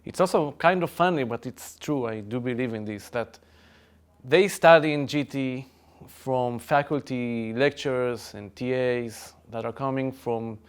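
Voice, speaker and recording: A male professor with an Israeli accent speaks in a conversational podcast style. His voice is smooth and clear at an average pitch, and he stresses his points by restating them emphatically. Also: unhurried. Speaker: chemistry professor.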